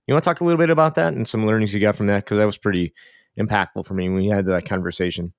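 A man's voice: on the low side, rapid-fire, dry recording, clean studio sound. A man hosting a podcast interview, easygoing and joking as he steers the conversation. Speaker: podcast host.

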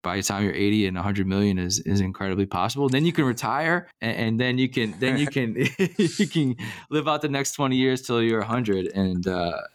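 A man's voice: mid-range voice, a little fast, no echo. A man hosting a podcast, speaking enthusiastically and rambling, with many restarts. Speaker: podcast host. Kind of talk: podcast interview.

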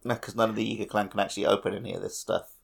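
Low, gravelly male podcast co-host. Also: flat delivery, racing. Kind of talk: casual chat.

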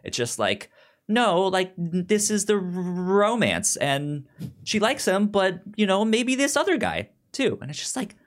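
A man hosting a podcast in casual, opinionated recap chat, animated, with a frequent stutter that repeats the first sounds of words. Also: high voice, a little slow. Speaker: podcast host.

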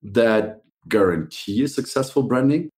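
A man's voice: mid-range voice, expressive, measured pace, a little mellow. A man hosting an interview podcast, introducing and questioning in a conversational way. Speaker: podcast host.

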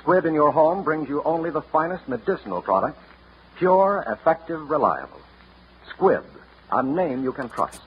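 A man's voice: fairly high pitch, sing-song, normal speed, a little hiss or hum. An American man announcing in formal, declamatory 1940s radio style, reading a scripted sponsor introduction. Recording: dull vintage radio recording with music. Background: music.

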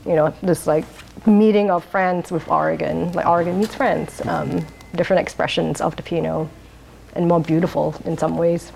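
A woman from Singapore, talking through her life in a rambling oral history interview, laughing often and pausing on um's. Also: low-pitched, steady tone, vocal fry, darkish tone, a little hiss or hum, low-quality recording. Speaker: interviewee, wine bar owner.

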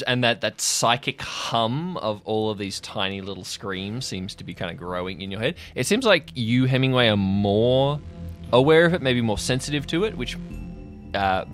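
Low-voiced man narrating over music. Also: fairly bright tone. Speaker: game master. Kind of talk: improvised scene narration for a tabletop roleplay.

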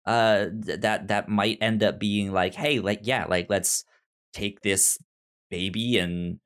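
A man's voice: fairly low pitch, fairly even intonation, takes it slowly, fairly bright tone, clean tone. A man chatting casually as a podcast host, with a stutter, often acting out imagined dialogue. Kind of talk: casual TV discussion chat.

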